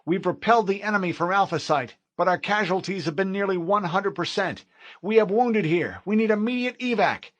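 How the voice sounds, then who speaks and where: A man's voice: high voice, expressive, fairly quick, a bit bright, pitched above the performer's own voice. A man reading an audiobook, voicing a tense young male marine corporal who speaks in an urgent, strained way.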